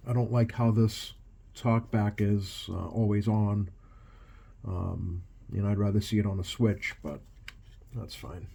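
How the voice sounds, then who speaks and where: Low, mellow man talking through hands-on work. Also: fairly even intonation, vocal fry, slightly rough. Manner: halting, thinking aloud while working with hands. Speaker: radio repair technician, video host. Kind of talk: casual hands-on repair commentary. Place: small indoor workshop at an electronics bench.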